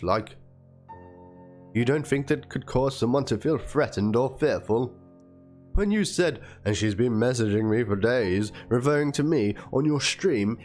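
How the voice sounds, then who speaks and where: A man narrating a written document aloud as a dramatic reading, with theatrical delivery. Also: medium pitch. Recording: music under the voice. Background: background music.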